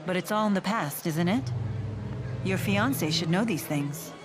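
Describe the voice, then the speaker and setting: An actress playing a calm, direct woman in a video game, questioning people with composure. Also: on the low side, normal speed, background noise, lightly acted.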